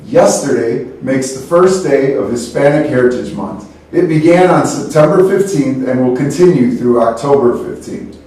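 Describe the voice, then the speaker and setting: Guy teacher doing the morning announcements, mid pitch, sounds far off in a big echoey room. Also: lively intonation, moderate pace, mellow. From United States. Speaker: teacher co-hosting school announcements. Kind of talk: scripted school broadcast reading. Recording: echoey room, distant mic.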